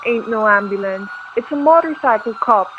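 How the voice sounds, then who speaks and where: A woman's voice: fairly high pitch, lively intonation, moderate pace, slight background noise, close to an everyday voice. Thin, phone-like sound frames a woman playing a sneering gunman on the run. The talk is slangy, sarcastic and menacing, in radio-thriller style, always suspicious of a trick. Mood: threatening, suspicious.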